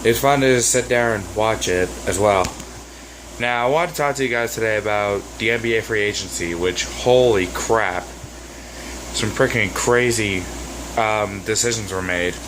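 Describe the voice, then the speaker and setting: Hoarse man ranting on a vlog. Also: on the low side, measured pace, noisy background. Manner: rambling, exasperated, with many fillers. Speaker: sports vlogger.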